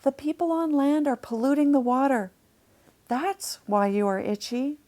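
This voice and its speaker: Storybook little girl read by a woman: kind, reassuring, earnest, fairly high, slow pace. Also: lively intonation, a little mellow. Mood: reassuring, determined.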